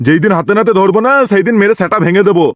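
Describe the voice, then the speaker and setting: Man voicing a high-pitched, rapid-fire grumpy cartoon grandpa. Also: dry recording, natural voice. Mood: furious scolding. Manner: scolding, blustering. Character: irascible foul-mouthed old cartoon grandfather. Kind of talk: comic cartoon dubbing.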